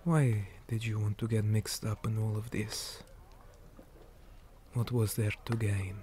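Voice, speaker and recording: A man playing a weary, stern orc commander in an ASMR roleplay, speaking in a hushed, deliberate manner that often drops toward a whisper. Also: lowish voice, level tone, a little hiss or hum. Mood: calm, probing. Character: weary male orc commander, stern, measured, dutiful soldier. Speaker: interrogator in a roleplay.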